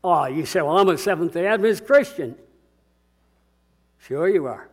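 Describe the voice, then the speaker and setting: Booming older pastor preaching a sermon. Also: male voice, animated. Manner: booming, emphatic, slows on key words. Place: church.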